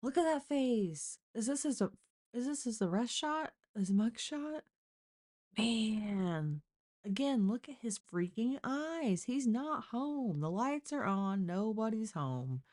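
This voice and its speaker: A woman's voice: a little high, animated, takes it slowly, a little mellow. A woman hosting a live stream, casually reacting to a crime show in a blunt, exclaiming way and thinking aloud.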